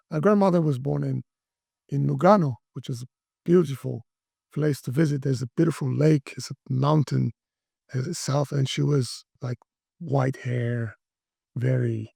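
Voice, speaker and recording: A middle-aged man from Brazil, speaking as an interview guest, talking in a halting way with many restarts and fillers. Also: fairly high pitch, creaky, warm tone, slightly rough, no room sound, studio quality. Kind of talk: interview conversation.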